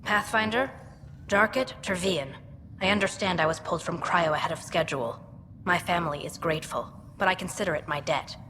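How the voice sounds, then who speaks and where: A woman acting as a composed, grateful scientist in a sci-fi game. Her slightly low voice is measured and formal. It rings with long reverb over clear background noise. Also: close to an everyday voice. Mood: earnest, composed.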